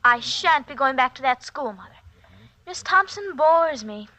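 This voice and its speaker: A disdainful schoolgirl in a 1940s radio thriller. The voice is high, bright and very lively in pitch. Her diction is affected and eerily formal. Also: a little slow, no echo, heavily acted. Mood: haughty, dismissive. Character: haughty young schoolgirl with eerily grown-up, hypnotized airs. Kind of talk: 1940s radio suspense acting.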